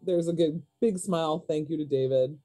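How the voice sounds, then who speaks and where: A woman's voice: deep voice, normal speed, dark tone, soft background music. A woman playing a tabletop roleplay game, chatting casually and improvising narration for her character.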